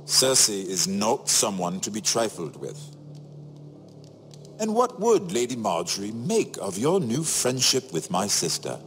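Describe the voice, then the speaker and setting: An actor playing a wry, shrewd middle-aged imprisoned nobleman in a video game, sardonic and theatrical, phrasing each remark deliberately. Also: lowish voice, huge pitch swings, a bit bright, a little hiss or hum, full character voice. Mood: stern warning. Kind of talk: dramatic video game dialogue. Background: music.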